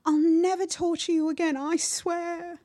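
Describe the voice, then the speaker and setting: A woman's voice: little pitch movement, unhurried, warm tone, no echo, clean studio sound. A woman voicing a whining, pleading young boy bully in a children's storybook tale. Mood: desperate pleading. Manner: shrill, pleading, wailing.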